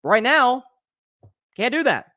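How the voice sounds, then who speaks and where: A young man hosting a solo sports talk show, talking quickly and animatedly, often repeating words as he builds a point and reading out viewer comments. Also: extremely high pitch, sing-song, pushed and bright, no echo, clean studio sound.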